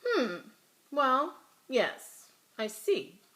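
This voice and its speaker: A woman voicing a fussy, baffled male family doctor who exclaims and questions briskly in a children's storybook. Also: high voice, very animated, fairly bright tone, pitched above the performer's own voice, full character voice. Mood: pondering. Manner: exclaiming, rattles off lists, mumbles.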